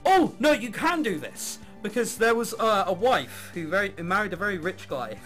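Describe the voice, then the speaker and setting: Animated high-pitched man doing wry game commentary. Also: moderate pace, soft background music. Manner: wry, irreverent asides. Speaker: host of a visual novel let's play. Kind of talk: reading game text aloud with casual commentary.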